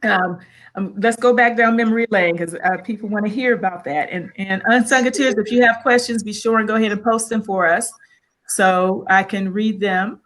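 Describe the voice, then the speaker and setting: A woman's voice: mid-range voice, fairly even intonation, normal speed, fairly bright tone. A woman hosting a live online interview, upbeat, greeting viewers and guiding the conversation. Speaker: host.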